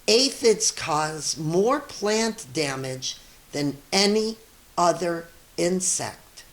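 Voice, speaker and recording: A woman's voice: on the low side, animated, drawn-out words. A middle-aged or older woman teacher reading a storybook to children, explaining things simply and addressing young listeners directly.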